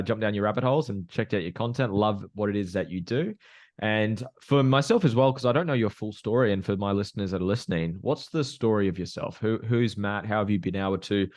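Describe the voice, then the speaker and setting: A man's voice: on the low side, dry recording, clean studio sound. A man hosting a podcast, praising his guest effusively and enthusiastically. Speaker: podcast host. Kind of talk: casual interview chat.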